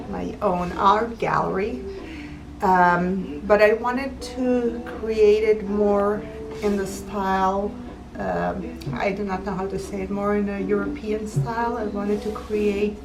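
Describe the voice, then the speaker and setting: A woman from Serbia, an artist and gallery owner answering interview questions, hesitant and full of ums while searching for words. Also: medium pitch. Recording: roomy, distant mic with music behind.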